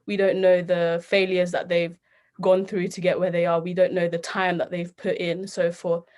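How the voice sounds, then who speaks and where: Young british woman on a panel, pretty flat lowish voice, earnest advice. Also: normal speed. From United Kingdom. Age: young adult. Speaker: youth panelist.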